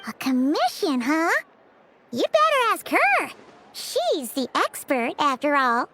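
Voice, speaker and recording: An actress playing a tiny, fretful, childlike fairy companion in a video game, with a squeaky voice. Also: female voice, wildly swooping pitch, slow, heavy background noise, exaggerated voice. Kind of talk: video game character acting.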